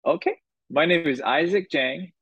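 A man from Korea, a guitar maker being interviewed, answering in an unhurried way with short phrases and pauses. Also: on the high side, fairly bright tone, slightly rough, no room sound, muffled recording.

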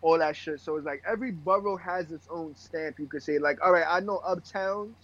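A man's voice: fairly high pitch, a little fast, fairly bright tone. A young man with a Brooklyn accent chatting casually in slangy, profane, emphatic talk. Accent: Brooklyn, New York. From Brooklyn, New York. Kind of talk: casual chat. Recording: slightly dull, lo-fi voice audio.

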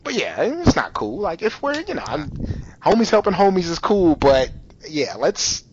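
A man's voice: fairly high pitch, huge pitch swings, moderate pace, dull audio, crowd noise. A man hosting a podcast in loose, casual chat, filling his talk with "you know" and "like". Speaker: podcast host.

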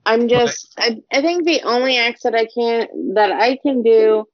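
A woman's voice: a little high, unhurried, no echo. A woman chatting casually as a podcast co-host, blunt and swearing freely. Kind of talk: casual chat. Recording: dry, dull lo-fi sound.

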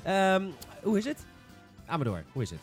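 High-pitched man hosting a podcast. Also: wildly swooping pitch, normal speed, faint music behind. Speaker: podcast host. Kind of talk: casual gaming podcast chat.

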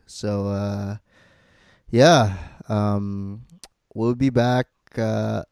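A man hosting a podcast in casual, halting chat peppered with profanity. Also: on the low side, fairly even intonation, vocal fry, darkish tone, smooth, dry recording, clean studio sound. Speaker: podcast host.

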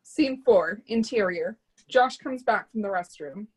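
A woman's voice: mid-range voice, animated, a little slow, slightly rough, poor audio. A woman reading stage directions as scripted narration for a readers theatre drama.

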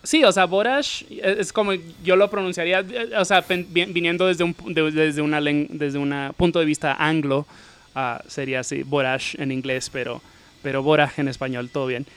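Smooth-voiced man hosting, stop-start. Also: fairly high pitch, fairly even intonation, normal speed, a bit bright. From not Chilean, lived in Chile. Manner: hesitant, restarts often, laughs easily. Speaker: interviewer host. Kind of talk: casual interview chat.

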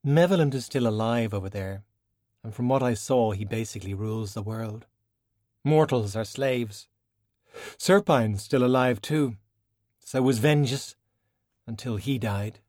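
A man's voice: mid-range voice, measured pace, pauses often, a little mellow, no room sound, clean studio sound, close to an everyday voice. A man voicing a casual, blunt, wry young Irish woman in an audiobook. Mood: matter-of-fact.

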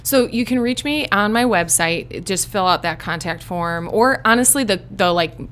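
A woman's voice: mid-range voice, lively intonation, moderate pace, a bit bright, slight background noise. A woman with a Chicago accent, a life coach chatting as a guest on a podcast in an upbeat, emphatic way. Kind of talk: casual podcast chat.

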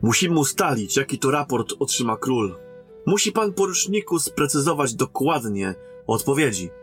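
An actor playing a formal, cautious captain sent as a royal emissary in a fantasy audio drama. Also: male voice, mid-range voice, sing-song, moderate pace, soft background music, lightly acted. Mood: measured, formal.

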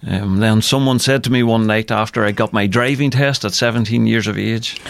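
A man's voice: lowish voice, steady tone, normal speed, vocal fry, slight background noise. A man with a Northern Irish accent giving personal testimony as a radio guest, speaking earnestly and repeating phrases for emphasis. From Northern Ireland. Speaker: interview guest, ministry worker. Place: radio studio.

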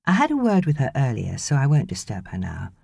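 A British woman voicing a brisk, fussing young mother in an audiobook. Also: extremely low pitch, wildly swooping pitch, gravelly, darkish tone, breathy edge, no echo, studio quality, exaggerated voice. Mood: careful, fussing. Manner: brisk, instructing.

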